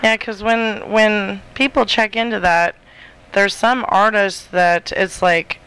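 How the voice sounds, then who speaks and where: A woman's voice: mid-range voice, fairly even intonation, slow pace, fairly bright tone, clean tone, poor audio. A woman chatting casually in an informal, joking way, chiming in on a conversation. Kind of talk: casual chat.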